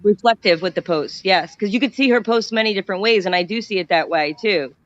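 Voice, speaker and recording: A woman's voice: mid-range voice, fairly quick. A woman talking casually and reflectively on an online group call. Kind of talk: casual group livestream chat. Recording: dull, lo-fi online call audio.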